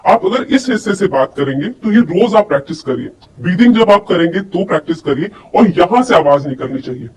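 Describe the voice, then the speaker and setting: A woman's voice: on the low side, animated, fairly quick, hardly any pauses, darkish tone. A woman giving an instructional lesson as a voice coach, speaking directly to listeners and posing questions to them. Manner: addresses listeners directly with demonstrations and rhetorical questions. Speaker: voice and personality development coach. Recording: distant microphone, faint background noise.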